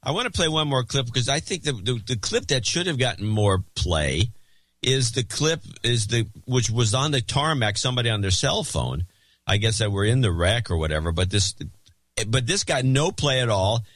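A man's voice: mid-range voice, lively intonation, normal speed, a bit bright, dry recording. A man co-hosting a podcast in casual banter, wry and teasing, rambling off on tangents. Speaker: podcast co-host.

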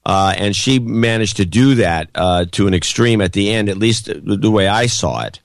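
A man with a cold, his voice gravelly and hoarse, pushing hard and almost shouting through casual opinionated chat as a podcast co-host. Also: fairly low pitch, lively intonation, moderate pace, dry recording. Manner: loud, pushing air, close to shouting.

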